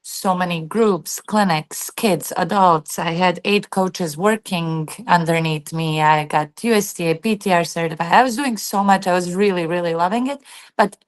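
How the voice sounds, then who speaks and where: A woman's voice: fairly low pitch, moderate pace. A woman in her thirties from Bosnia and Herzegovina, a guest telling her story in a chatty interview, full of "like" and "you know". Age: 30s. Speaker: guest, tennis player and coach. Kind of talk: casual interview chat.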